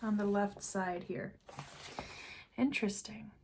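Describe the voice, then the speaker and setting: Woman, intuitive card reader, unhurried delivery, mid-range voice, musing, trailing asides. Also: mid-range voice, steady tone, a little hiss or hum. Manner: slow, meandering, trailing off into quiet asides. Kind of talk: unscripted spiritual reading to camera.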